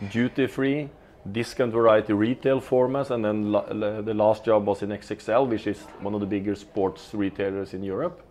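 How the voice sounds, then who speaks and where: A man's voice: on the low side, darkish tone. A man in his fifties with a Norwegian accent, giving business interview answers and often restarting and repeating words. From Norway. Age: 50s. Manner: hesitant, repeats words mid-sentence. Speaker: retail executive guest. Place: company studio in an office.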